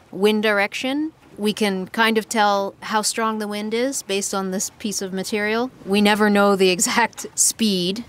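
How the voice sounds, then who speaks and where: A woman in her thirties explaining sailing basics in a casual, practical way, outdoors on a sailboat with a handheld mic. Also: mid-range voice. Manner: explains with hand gestures, relaxed and practical. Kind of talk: casual explanatory talk to camera. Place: outdoors on the deck of a sailboat at sea. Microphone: handheld furry lavalier held near the mouth. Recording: faint wind and water noise.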